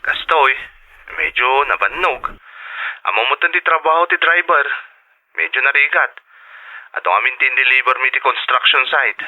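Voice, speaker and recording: An actor playing a tired, prudent working husband abroad in an Ilocano radio drama, heard through a telephone filter. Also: male voice, medium pitch, normal speed, pauses often. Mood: weary. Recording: thin, narrow telephone-like sound.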